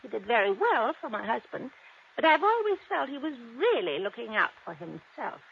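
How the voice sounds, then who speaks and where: An actress playing a wealthy, dramatic widow in an old-time radio drama, guarded and dismissive in manner. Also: female voice, fairly high pitch, sing-song, moderate pace, gravelly, breathy edge, heavily acted. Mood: guarded, emphatic. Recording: noisy, muffled vintage radio recording.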